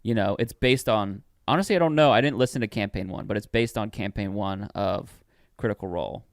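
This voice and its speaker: Smooth, clear-voiced man, teasing. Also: lowish voice, brisk, no room sound, studio quality. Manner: playful, teasing. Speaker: podcast co-host. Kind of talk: casual chat.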